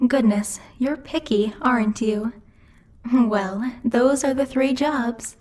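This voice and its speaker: Woman playing a bossy, mellow-voiced demon girl. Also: fairly high pitch, takes it slowly, a little hiss or hum. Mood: taunting, menacing. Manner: haughty, playfully menacing, conversational. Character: bossy, teasing female demon ruler of hell. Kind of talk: ASMR roleplay, intimate scripted monologue to the listener.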